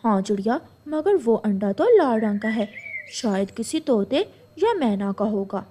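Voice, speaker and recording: Lady doing neighbourly bird aunty in a cartoon, brisk n practical, lower voice, faint noise. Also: expressive, warm tone.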